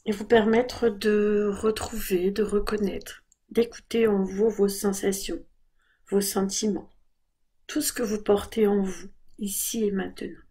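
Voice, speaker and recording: Slow, soft breathy woman guiding meditation. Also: medium pitch. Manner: calm, unhurried, gentle instruction. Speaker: meditation guide. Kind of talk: guided body scan meditation.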